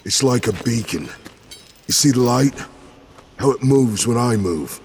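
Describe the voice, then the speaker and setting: A man acting in an audio drama as a steady, knowing guide and loyal companion, deliberate and reassuring. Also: medium pitch. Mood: assured. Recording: long reverb, faint ambient noise.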